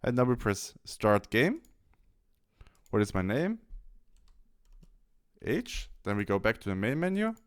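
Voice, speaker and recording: A man presenting a software tutorial, talking through each step in an instructional way. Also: medium pitch, sing-song, measured pace, long pauses. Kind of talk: instructional software walkthrough narration.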